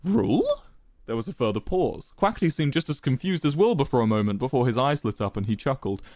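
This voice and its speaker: A man's voice: mid-range voice, lively intonation, fast talker, darkish tone, dry recording, studio quality. A man narrating a scripted story in a dramatic style.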